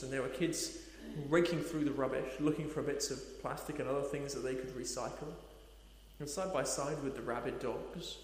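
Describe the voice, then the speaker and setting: A man preaching a sermon, earnest, asking rhetorical questions and slowing down to stress key points. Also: a little high, slight background noise. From Nepal. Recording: echoey hall reverb.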